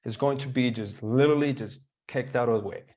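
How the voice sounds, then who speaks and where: A young man whose first language is Spanish speaks with a Colombian accent in a relaxed interview. His voice sits at an ordinary middle pitch. He rambles along eagerly, stacking fillers and false starts. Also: brisk. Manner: animated, rambling with many fillers and restarts. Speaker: guest. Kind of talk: casual storytelling interview.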